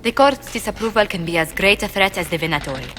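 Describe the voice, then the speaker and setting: A poised female court ambassador acted by a woman in a fantasy video game. She speaks formally and with measured diplomacy in a medium-pitched voice, over faint background noise. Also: lively intonation, fairly bright tone, close to an everyday voice. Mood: concerned. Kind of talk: video game dialogue acting.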